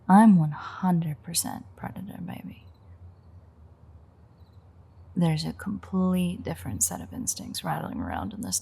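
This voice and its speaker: Husky, teasing vampire woman, acted by a woman. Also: deep voice, warm tone, exaggerated voice. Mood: smug, dark. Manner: teasing, sardonic, swearing banter. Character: teasing, playful, predatory adult female vampire. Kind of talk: intimate audio roleplay to one listener.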